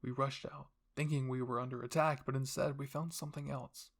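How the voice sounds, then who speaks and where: A man's voice: medium pitch, level tone, a little fast, close to an everyday voice. A man voicing a cold, scheming royal father who commands and explains without remorse in an anime fan fiction reading. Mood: recounting.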